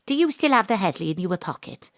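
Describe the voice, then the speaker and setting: An actress playing a wry, world-weary private detective, deadpan and confiding, in noir audio drama style. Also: female voice, medium pitch, quick pace, no echo, clean studio sound, lightly acted. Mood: dry, teasing. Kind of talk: noir detective narration and dialogue.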